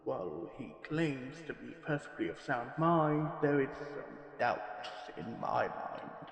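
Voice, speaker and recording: A reverberant, echoey recording of a man playing a formal male archivist in a horror podcast parody. He is dry and sceptical, setting out his case notes in a measured, deliberate manner. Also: medium pitch, animated, vocal fry, lo-fi sound. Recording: echoey room with long reverb.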